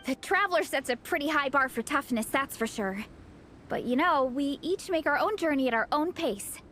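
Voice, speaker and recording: A bubbly young hero mentor. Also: female voice, sits high, lively intonation, normal speed, fairly bright tone, soft background music. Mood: encouraging. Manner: bubbly, encouraging, laughs often. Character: upbeat young woman, eager hero-training mentor. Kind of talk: video game voice acting.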